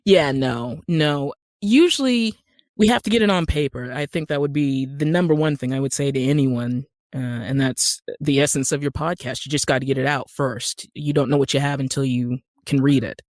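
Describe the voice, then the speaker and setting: A woman with an American accent, talking as an interview guest in a conversational, animated storytelling way that acts out bits of dialogue. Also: very deep voice, normal speed, no echo, clean studio sound. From Utah, United States. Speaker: guest, actor and screenwriter.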